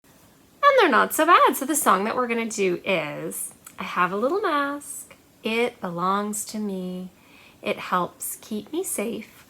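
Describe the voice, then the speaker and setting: A woman's voice: mid-range voice, very animated, slow, sharp tone. A woman hosting a children's storytime as a librarian, talking and singing in simple, encouraging phrases with a sing-song delivery aimed at small children. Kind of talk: children's storytime presenting with songs.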